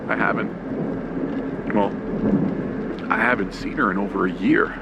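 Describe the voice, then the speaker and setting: A man's voice: on the low side, fairly even intonation, unhurried, gravelly, highs cut off, heavy background noise. A man narrating a scripted first-person horror story dramatically in old radio play style, acting out urgent, frightened moments. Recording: loud music behind the voice.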